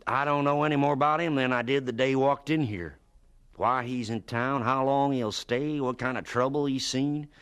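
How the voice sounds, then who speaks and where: An actor playing a gruff but kindly farmer and boss in a radio drama, blunt, folksy and emphatic. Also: male voice, medium pitch, moderate pace, a bit bright, natural voice. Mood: wry, puzzled.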